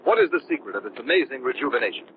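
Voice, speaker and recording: A man's voice: medium pitch, wildly swooping pitch, very fast, creaky, loud music behind the voice. A man announcing in the scripted style of a 1930s radio programme. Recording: tinny, hissy 1930s radio broadcast.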